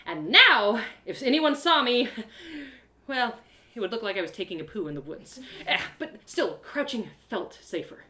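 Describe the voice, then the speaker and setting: Audio drama lead: excitable young adventuress voiced by a woman, self-mocking storyteller, very animated intonation, roomy live reading. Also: a little high, moderate pace. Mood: wry. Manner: theatrical, breathless exclamations, gasps, self-deprecating humour. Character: excitable young heroine narrating her woodland adventure.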